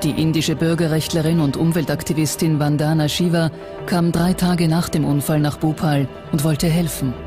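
A narrator with a mid-pitched voice delivers scripted documentary narration. Music plays clearly behind the voice throughout. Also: level tone, moderate pace. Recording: narration over prominent music. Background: background music.

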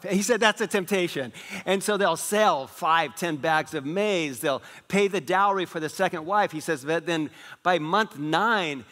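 A middle-aged man who grew up in West Africa, preaching a sermon as pastor in a large church auditorium, animated and gesturing broadly. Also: a little high. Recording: long reverb of a large hall.

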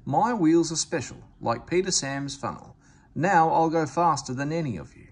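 A man's voice: on the high side, sing-song, moderate pace, breathy edge, voice pushed up, heavily acted. A man voicing a boastful, easily offended steam engine in a children's storybook, self-important and snooty. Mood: indignant boasting. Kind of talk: children's storybook read-aloud.

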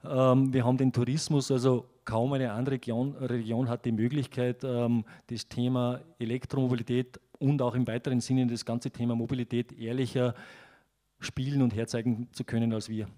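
A middle-aged man speaking into a handheld mic in a conference hall, talking in a measured way with many fillers. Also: mid-range voice, little pitch movement, warm tone. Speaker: event organizer. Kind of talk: panel talk on stage.

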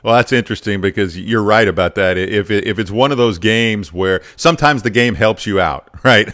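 A man's voice: on the low side, moderate pace, a bit bright. A man in his fifties hosting a conversational sports interview, speaking into a close broadcast mic. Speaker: podcast host, sports broadcaster. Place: home studio in a furnished den. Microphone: close broadcast mic on a boom arm.

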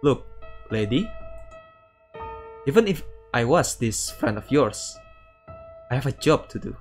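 Man reading a curt, slow-spoken store clerk. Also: medium pitch, sing-song, faint music behind. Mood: dismissive.

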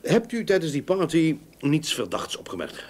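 An actor playing a methodical private detective in a Dutch radio play, inquisitive and businesslike. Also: male voice, fairly high pitch, wildly swooping pitch, moderate pace. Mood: inquiring. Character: probing, methodical male private detective, matter-of-fact. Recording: old radio play recording, background noise.

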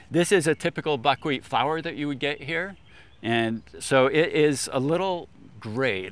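An older man explaining things informally in a measured way, as an expert guest. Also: medium pitch, a bit bright. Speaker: expert guest, agricultural scientist. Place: outdoors on a lawn beside a food truck.

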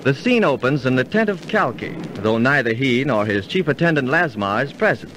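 An actor playing a knowing storyteller who explains things formally in 1930s radio style. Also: male voice, mid-range voice, sing-song, moderate pace, a bit bright. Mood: formal, instructive. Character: knowing, instructive male storyteller and dining companion. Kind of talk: 1930s radio narration and acting. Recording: slightly noisy 1930s radio recording.

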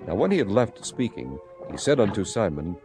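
A man narrating dramatized scripture as a solemn, measured storyteller. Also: on the low side, big pitch swings. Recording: clear music bed behind narration. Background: music.

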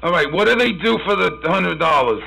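A man playing a sleazy, gravelly, high-voiced haggler. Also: expressive, brisk, bright, forceful tone, full character voice, poor audio. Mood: sleazy haggling. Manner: sleazy, brash. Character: sleazy, haggling male customer planning a bachelor party. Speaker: prank caller. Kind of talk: prank phone call.

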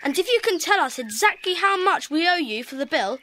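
A woman playing an excited, fair-minded young child in a children's audio drama. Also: extremely high pitch, brisk, full character voice. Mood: eager.

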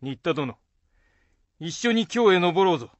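A man's voice: high-pitched, expressive, unhurried, no room sound, muffled recording. A man playing a hearty, ambitious samurai lord with bluff archaic speech in a period radio drama. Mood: rallying.

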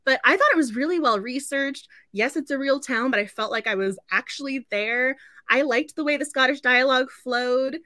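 A woman's voice: high-pitched, moderate pace, pushed and bright, no room sound, clean studio sound. A woman in her thirties hosting a casual book club livestream in a gushing, giggly way. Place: indoor room at home.

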